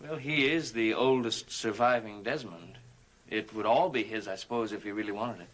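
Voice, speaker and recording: An actor playing a scheming, evasive occultist relative in a gothic television soap, speaking in a measured, conspiratorial way. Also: male voice, on the low side, a bit bright, low-quality recording. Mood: sly, insinuating. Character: scheming, smooth male occultist relative after an inheritance.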